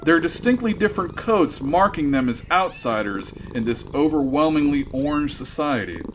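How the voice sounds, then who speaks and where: A man's voice: fairly high pitch, lively intonation, normal speed, background noise, natural voice. A man playing a wry, theatrical narrator of a sci-fi comedy radio drama.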